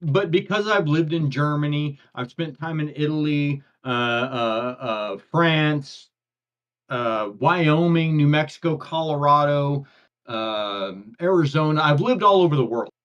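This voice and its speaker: An older man co-hosting a casual chat, his voice a touch higher than most men's. He rambles through his points, doubling back and repeating words as he restarts sentences. The audio comes through dull and lo-fi. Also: measured pace, a bit bright. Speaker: podcast co-host.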